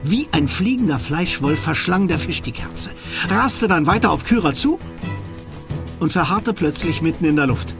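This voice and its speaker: Male narrator, fairly high voice, dramatic suspense storytelling for a radio play, clear music underneath. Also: expressive, normal speed. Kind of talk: scripted suspense narration for children's audio drama.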